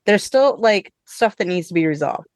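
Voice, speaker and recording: A woman hosting a podcast, chatting casually with co-hosts in an informal, expressive way with some swearing. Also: on the low side, moderate pace, no echo. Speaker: podcast host. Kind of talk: casual review chat with co-hosts.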